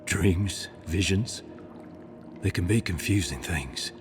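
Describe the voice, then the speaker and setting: A very low-pitched male assassin from a video game, devout and repentant. He speaks like a grave orator, earnest and formal, with music clearly playing behind him. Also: takes it slowly. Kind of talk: dramatic video game dialogue.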